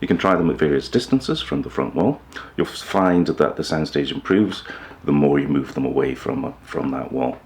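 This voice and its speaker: A man with an extremely low voice and a heavy creak presents an explainer. He talks informally to the viewer, keeping a measured, matter-of-fact manner throughout. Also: darkish tone. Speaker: host of a hi-fi upgrade video channel.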